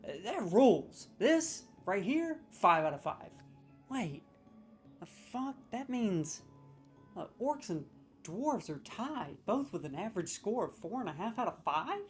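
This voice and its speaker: A man rants as a comedic judge, scoring video game voice lines with profanity, mock-serious verdicts and excited stammering restarts. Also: high-pitched, unhurried, faint music behind. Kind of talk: comedic ranking rant.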